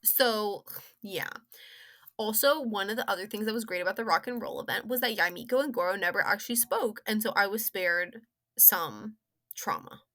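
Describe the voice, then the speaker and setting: A young woman rambling casually through an opinionated game story review, slangy and sarcastic, with animated, exaggerated emphasis. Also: mid-range voice, normal speed.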